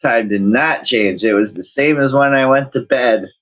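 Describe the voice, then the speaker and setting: A man's voice: mid-range voice, moderate pace, a bit bright. A man co-hosting in casual, offhand banter, often contradicting, heard through dull, muffled sound.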